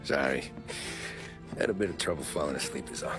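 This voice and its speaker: An actor playing a weary, guarded working-class gangster in a video game, terse and understated. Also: male voice, rumbling low, brisk, music in the background. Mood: guarded, casual. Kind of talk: cinematic video game acting.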